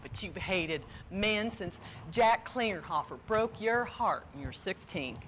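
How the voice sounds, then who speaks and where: A woman's voice: mid-range voice, lively intonation, unhurried, fairly bright tone, a little hiss or hum. A woman playing a bossy, crabby old elder sister in a comedic stage play, scolding bluntly in folksy phrases. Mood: incredulous.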